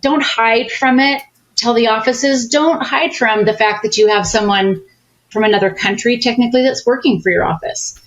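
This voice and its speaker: A woman's voice: on the high side, normal speed, dull audio. A woman consultant as a podcast guest, explaining things in a friendly, coach-like way. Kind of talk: casual interview chat.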